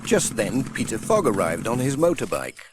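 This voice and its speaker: Male children's audiobook narrator, mid-range, lively intonation, faintly noisy older recording. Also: medium pitch, normal speed. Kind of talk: scripted children's storybook narration.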